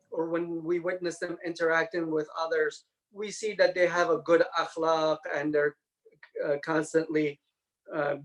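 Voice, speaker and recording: A man speaking as a religious lecturer, explaining points in a measured way with halting phrases, as in a scholarly talk and question session. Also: on the high side, poor audio.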